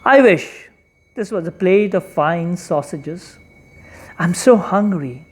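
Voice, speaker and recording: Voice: mid-range voice, lively intonation, slow pace, darkish tone, breathy edge. A storyteller voicing a poor, tired, henpecked fisherman with a plaintive manner in a folktale. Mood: hungry longing.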